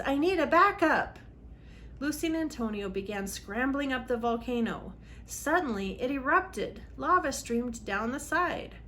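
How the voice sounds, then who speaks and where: Woman doing a dramatic read aloud for kids, highish voice, faint noise behind her. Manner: dramatic, emphatic on exciting moments. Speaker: read-aloud host for children. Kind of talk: expressive storybook reading for children.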